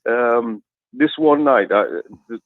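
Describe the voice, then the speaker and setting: A man with a deep voice, a caller telling a rambling story on a phone-in show and laughing as he goes. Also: slow pace, dry recording, narrow, tinny audio. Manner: chatty, rambling, laughs through his stories.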